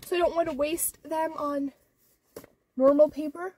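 Teen girl vlogger, very high voice, stuffed-up nose, rambling with fillers, heard from a distance. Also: fairly even intonation, a little slow, darkish tone, a little hiss or hum. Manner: rambling, many "like" and "or whatever" fillers. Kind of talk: casual vlog chat. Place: inside her car.

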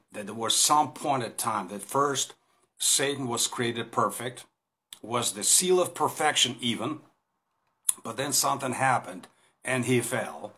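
A man's voice: on the low side, pushed and bright. Man with a Russian accent teaching. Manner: hesitant, many fillers and restarts, gestures while talking. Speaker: Bible teacher. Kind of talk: informal recorded Bible talk. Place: small indoor room against a plain wall. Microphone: phone camera at arm's length.

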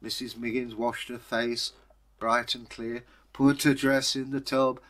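A man's voice: mid-range voice, little pitch movement, a little slow, fairly bright tone. A man with an English accent, telling a folktale aloud as a storyteller, with wry, conversational asides. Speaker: storyteller, channel host. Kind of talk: animated oral folktale storytelling.

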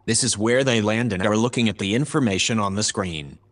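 A man playing a calm, formal artificial intelligence, reporting in a measured, dispassionate way in a sci-fi audio drama. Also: fairly low pitch, natural voice. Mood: detached.

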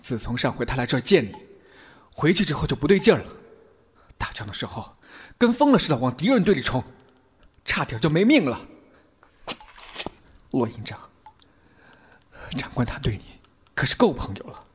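A man playing an anxious, loyal soldier aide with a husky voice in a Chinese radio drama. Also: mid-range voice, big pitch swings, normal speed, pauses often. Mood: worried, pleading.

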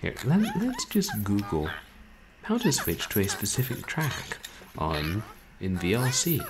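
A man's voice: lowish voice, huge pitch swings, a little slow, a crowd in the background. A man in his thirties chatting casually on a game livestream from home. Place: indoors at home. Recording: noisy home streaming microphone.

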